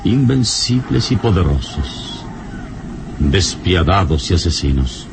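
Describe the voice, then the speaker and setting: An actor playing a heroic, commanding adventurer in a theatrical, musing manner, in an old radio serial. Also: male voice, deep voice, big pitch swings, slow, creaky, background noise, exaggerated voice. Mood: ominous, brooding. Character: heroic adventurer man, calm, brooding and commanding.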